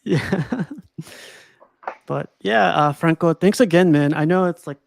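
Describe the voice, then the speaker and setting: A man's voice: a little high, slow pace, vocal fry. A man hosting a casual stream chat, enthusiastic and laughing often, calling his guest "man" a lot. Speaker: stream host.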